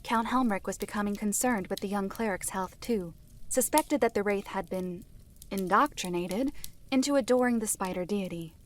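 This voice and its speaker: A woman playing a composed seer who recounts events in a fantasy audio drama, formal and measured. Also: medium pitch, a little hiss or hum, close to an everyday voice. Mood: grave, composed. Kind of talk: visual-novel audio drama storytelling.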